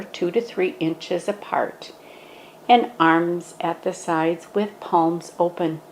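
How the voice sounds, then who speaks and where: A woman gently guiding a standing yoga meditation, speaking in a calm, instructive way. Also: lowish voice, vocal fry, slight background noise, low-quality recording.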